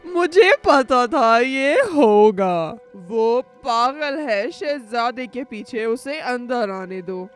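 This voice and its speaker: A scheming, vengeful sea witch in a fairy-tale cartoon. She draws her words out very slowly in a high, commanding voice. Faint music plays behind her. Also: expressive. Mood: smug, scheming. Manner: slow, commanding, gloating. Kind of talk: animated fairy-tale voice acting.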